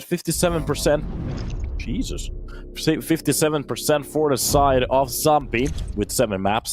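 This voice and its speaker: A man's voice: mid-range voice, no room sound. Chatty thirty-something male esports caster. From Finland. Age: 30s. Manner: energetic, chatty, answers viewer questions between plays. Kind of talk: solo play-by-play commentary with chat replies.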